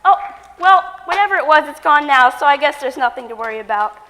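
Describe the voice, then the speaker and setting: A woman's voice: sits high, animated, fast, background noise. A woman playing a devoted, earnest grown daughter with a dramatic streak, delivered theatrically for a stage comedy. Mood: startled. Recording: echoey hall with long reverb.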